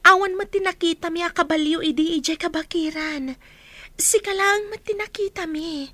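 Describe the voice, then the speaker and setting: A woman's voice: very high voice, normal speed, pushed and bright, no echo. A woman with an Ilocano accent playing an inquisitive, concerned young villager in a radio drama. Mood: concerned, questioning.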